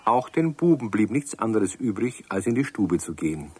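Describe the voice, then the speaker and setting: A man narrating a children's radio play in a calm, measured way. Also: fairly low pitch, slightly rough. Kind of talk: scripted storybook narration.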